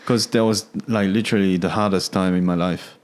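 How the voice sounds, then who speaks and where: A man speaks as a podcast guest. His voice sits very low, and he answers in short, soft-spoken phrases with plenty of quiet agreement. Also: fairly even intonation, a little fast. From Korea. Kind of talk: casual interview chat.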